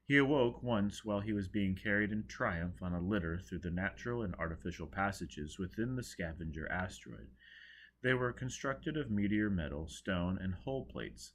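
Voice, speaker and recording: A man reading an audiobook, narrating dramatically with a theatrical, expressive delivery. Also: low voice, breathy edge. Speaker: audiobook reader.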